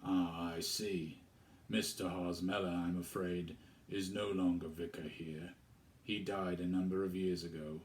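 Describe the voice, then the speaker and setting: Casting: man reading as a forbidding, deep-voiced vicar, guarded and formal, very low register. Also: level tone, unhurried, slight background noise. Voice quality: deep, mournful. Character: tall forbidding male vicar, deep mournful voice.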